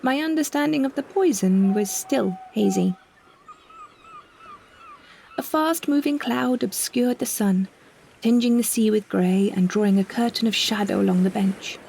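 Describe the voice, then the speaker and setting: A woman narrating a scripted first-person story in a measured, reflective manner. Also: mid-range voice, creaky, darkish tone, slight background noise. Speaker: solo performer narrating a story.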